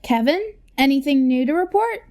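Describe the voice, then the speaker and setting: A woman's voice: high voice, expressive, moderate pace, darkish tone. A woman playing a chirpy TV news anchor in a parody newscast for a radio play. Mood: upbeat, polished.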